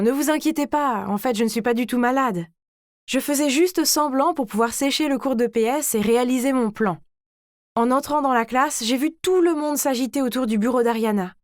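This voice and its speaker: A woman voicing a vain, scheming teenage girl who tells her own animated story in a smug, theatrical way. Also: high-pitched, no echo, clean studio sound, lightly acted. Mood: sly. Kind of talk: dramatized first-person story narration.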